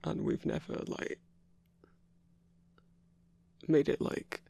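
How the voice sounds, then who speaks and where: A man playing a gruff but tender werewolf boyfriend in an intimate ASMR roleplay, rambling in halting, sweary, hushed phrases with a husky, gravelly voice. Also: dry recording. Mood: earnest, hesitant.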